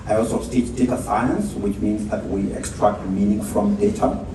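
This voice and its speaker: A man's voice: on the low side, fairly even intonation, moderate pace. A middle-aged man from Kenya with a Kenyan accent, explaining his teaching informally on a handheld microphone at a networking event. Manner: explanatory, asks rhetorical "right?". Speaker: computer science professor. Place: large indoor event space. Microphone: handheld microphone, heard from far off. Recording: very echoey hall from far off, background noise.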